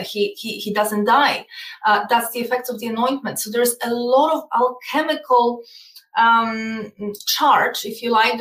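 A woman's voice: fairly high pitch, fairly bright tone, reverberant, far from the mic, rough recording. A woman with a Polish accent, talking as a guest in an interview, explaining earnestly and often repeating words. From Poland. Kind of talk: casual interview talk.

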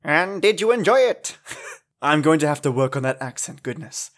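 A man's voice: fairly high pitch, animated, moderate pace, fairly bright tone. A man hosting a podcast, chatting playfully between old radio shows. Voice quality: playful, chuckling. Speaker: podcast host. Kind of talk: casual chat.

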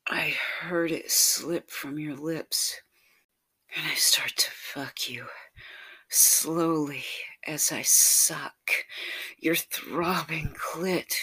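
A breathy, husky narrator drifts in and out of whisper while telling an intimate story. The pace is extremely slow and lingering, the tone bright and a little tense. The sound is close and dry, with no room around it. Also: mid-range voice, no echo. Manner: slow, soft, lingering delivery.

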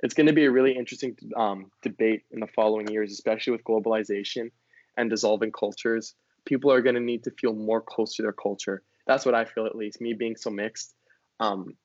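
A young college man from Hawaii thinks out loud in an easygoing conversation. He fills his sentences with "like" and "um" as he works through his thoughts. The recording is dry and a little dull. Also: on the low side, dry recording. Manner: reflective, chatty, many likes and ums. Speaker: college student. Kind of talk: casual conversation.

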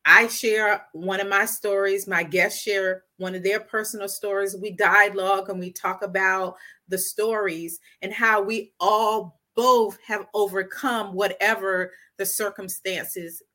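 A woman's voice: mid-range voice, steady tone, measured pace, sharp tone. A woman guest on an interview podcast, talking earnestly and animatedly about her life and work as a storyteller. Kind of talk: casual interview talk.

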